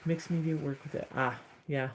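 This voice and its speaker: Deep-voiced woman, casual livestream chat, rambling, answering comments, phone mic. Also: fairly even intonation, moderate pace, slight background noise. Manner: chatty, rambling, reading and answering viewer comments. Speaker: encaustic artist streaming live.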